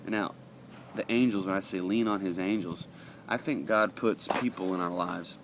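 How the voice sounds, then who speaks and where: A man's voice: low voice, fairly even intonation, moderate pace, noisy background. A man giving an earnest, conversational daily devotional, reading passages aloud and adding his own thoughts, sniffly from allergies. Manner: earnest, conversational, with frequent uh fillers and restarts.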